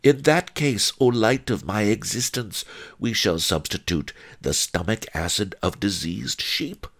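A man voicing an unctuous, scheming male tavern keeper in a storybook, calm and floridly affectionate. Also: medium pitch, slow, voice pushed up, heavily acted.

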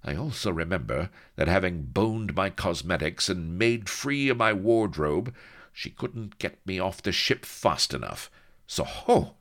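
A man voicing a haughty, jealous high-bred English lady who scolds indignantly. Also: lively intonation, measured pace, a bit bright, full character voice.

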